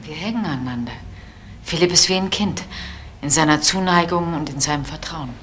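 Deep-voiced, worried mother. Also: a little fast, a bit bright, slight background noise. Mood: wistful, tender. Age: middle-aged. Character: resigned, worried, devoted mother of a grown son. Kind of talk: German crime radio play acting.

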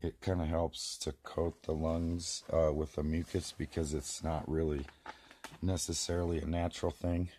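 A hoarse man, about forty, rambling in a casual vlog, filmed on a handheld phone inside a small greenhouse. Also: rumbling low, little pitch movement, moderate pace, warm tone, slight background noise. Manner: rambling, many restarts and fillers. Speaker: vlogger. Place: small plastic greenhouse, indoors. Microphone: phone camera held close.

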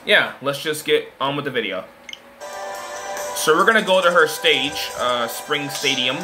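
A man giving casual gameplay commentary, chatty with frequent fillers and an occasional stutter, whooping loudly at big plays. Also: on the high side, lively intonation, unhurried, over music. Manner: chatty, self-described stutter, many fillers. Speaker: gaming video creator.

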